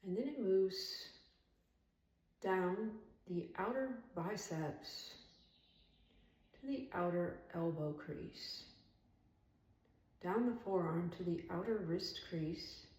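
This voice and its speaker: A woman leading a guided meditation as an instructor, speaking calmly and soothingly in measured phrases with long pauses. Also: fairly low pitch. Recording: roomy echo, distant from mic.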